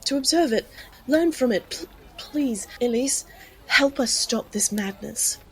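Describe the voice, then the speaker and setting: A woman's voice: a little high, lively intonation, measured pace, poor audio. An actress playing a determined, daring young woman in an audio drama, earnest and challenging. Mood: pleading, determined.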